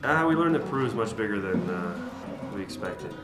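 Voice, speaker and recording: A man's voice: lowish voice, big pitch swings, a little fast, over music. A man who is not a native English speaker, talking casually for a travel vlog and searching for words at times. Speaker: motorcycle tour scout.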